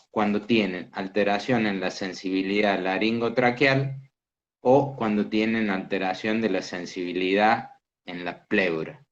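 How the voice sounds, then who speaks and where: A man teaching a medical class, explaining slowly and asking students questions. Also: lowish voice. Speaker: medical lecturer. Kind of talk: interactive lecture. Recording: dull lo-fi class recording.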